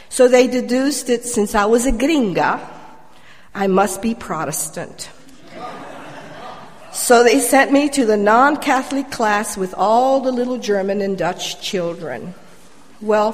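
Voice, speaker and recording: An older woman with a medium-pitched voice tells a prepared personal story as a church member. Her delivery is measured with wry humour, and it carries through a microphone into a reverberant hall. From Colombia.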